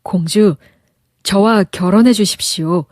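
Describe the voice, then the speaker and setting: A woman's voice: medium pitch, a little slow, a little mellow, no echo, studio quality, close to an everyday voice. A woman voicing a courteous, earnest young prince in a storybook, speaking politely and formally. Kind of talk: storybook character voice.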